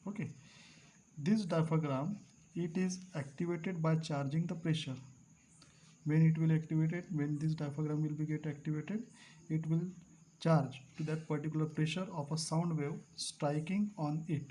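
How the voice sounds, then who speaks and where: A male teacher explaining a device to students, repeating key terms slowly and clearing his throat now and then. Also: a little high, fairly even intonation, warm tone, slight background noise, low-quality recording. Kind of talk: classroom lecture at a board.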